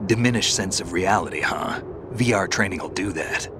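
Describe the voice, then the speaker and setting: An actor in a video game cutscene playing a gruff, gravelly, world-weary veteran soldier who talks tersely and dryly. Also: male voice, low-pitched, normal speed, background noise, close to an everyday voice. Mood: grave, resolute. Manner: terse, dry, unflappable.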